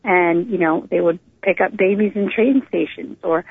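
Creaky-voiced American woman on the phone. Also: lowish voice, moderate pace, dry recording. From Northern California, United States. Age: middle-aged. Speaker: guest sharing her life story. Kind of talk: personal storytelling in a casual interview. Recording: narrow tinny phone line.

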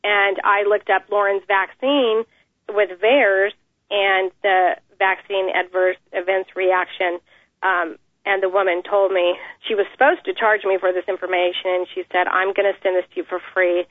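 A woman in an interview, giving an earnest, measured account of her family with many pauses. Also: mid-range voice, little pitch movement, no room sound. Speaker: interview guest, mother. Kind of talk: phone interview, personal account. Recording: tinny phone line.

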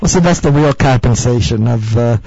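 A man hosting an interview in a jokey, halting way, with many fillers and laughs. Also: medium pitch, vocal fry, a little mellow, slightly rough, lo-fi sound. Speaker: interview host. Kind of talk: casual interview chat.

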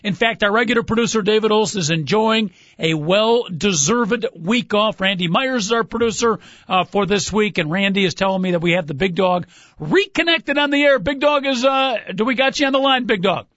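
High-voiced chatty male sports radio host. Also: lively intonation, fairly quick, a bit bright, dry recording, dull audio. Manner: chatty, teasing, loose and rambling. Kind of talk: casual sports radio chat.

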